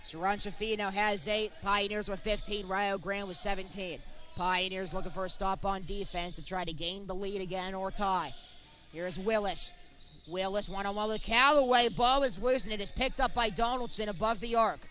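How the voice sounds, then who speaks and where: A man's voice: high-pitched, fairly even intonation, normal speed, sharp tone, soft background music. A young man calling live college basketball play-by-play in short, clipped present-tense phrases. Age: young adult. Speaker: play-by-play sportscaster.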